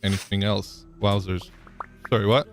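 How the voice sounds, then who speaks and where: A man's voice: fairly low pitch, fairly even intonation, moderate pace, faint music behind. A man live-streaming a game, chatting casually, thinking aloud with frequent ums and answering viewers in chat.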